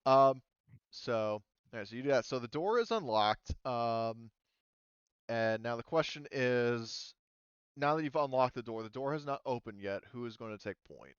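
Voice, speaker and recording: A man acting as game master, casually explaining a tabletop roleplaying game in a halting way. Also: mid-range voice, lively intonation, clean tone, dry recording, highs cut off.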